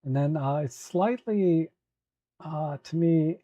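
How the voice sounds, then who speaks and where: A hoarse man hosting a live photo editing tutorial, talking at an easy, halting pace with many fillers while answering viewers. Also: on the high side, mellow, no room sound. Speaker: photo editing livestream host.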